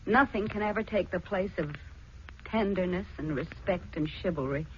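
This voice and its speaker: An actress playing a sensitive, cultured married aunt who speaks earnestly and articulately in a 1940s radio drama. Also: female voice, on the low side, normal speed, lightly acted. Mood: wounded, grave. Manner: earnest, articulate, impassioned phrasing. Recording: dull, noisy 1940s radio recording.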